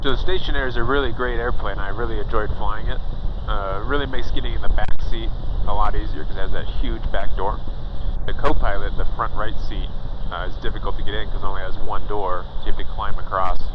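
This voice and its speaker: Man pilot vlogging from the cockpit, low voice, muffled headset audio over loud engine drone. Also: a little fast, noisy background. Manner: relaxed, rambling, chatty. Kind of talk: casual cockpit vlog commentary. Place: small plane cockpit in flight.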